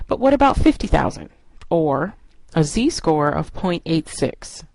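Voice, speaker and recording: Low-pitched woman instructor, close dry sound. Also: big pitch swings, unhurried, creaky, a little mellow, dry recording. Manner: explanatory, step-by-step. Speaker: statistics instructor. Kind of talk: instructional lecture narration.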